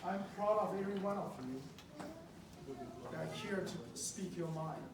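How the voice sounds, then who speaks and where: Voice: medium pitch, fairly even intonation, moderate pace. A speaker from Taiwan giving an impassioned, emphatic public speech at a meeting, repeating phrases for effect. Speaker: public speaker at a school board meeting, treats patients. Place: indoor public meeting room. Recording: distant mic in echoey, noisy meeting room.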